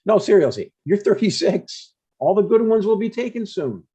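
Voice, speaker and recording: A man's voice: sits high, big pitch swings, moderate pace, mellow, breathy edge, exaggerated voice. A man playing an older widowed father and retired deacon, earnest, blunt and a little hesitant, in a scripted comedy table read. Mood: insistent. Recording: dull, lo-fi sound.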